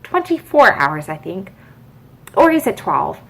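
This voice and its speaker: A polite, curious little girl from a storybook, voiced by a woman. She speaks earnestly and properly, with pitch that rises and falls a great deal. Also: big pitch swings, unhurried, vocal fry, a bit bright, husky, heavily acted, poor audio. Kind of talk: children's storybook reading.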